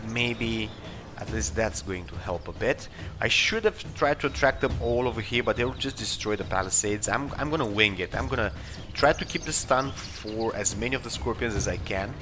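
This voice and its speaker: Low-voiced man commentating a video game. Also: moderate pace, noisy background. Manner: hesitant, thinking aloud while deciding moves. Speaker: let's play host. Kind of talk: casual improvised gameplay commentary. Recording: game music under commentary. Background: video game music and battle sounds.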